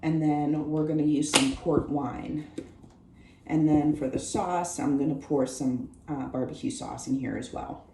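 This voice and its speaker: A woman hosting her own home cooking show with a hoarse, worn voice, chatting viewers through each step of a recipe in her kitchen. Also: sits low, fairly even intonation, measured pace, reverberant, background noise. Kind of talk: casual cooking demonstration.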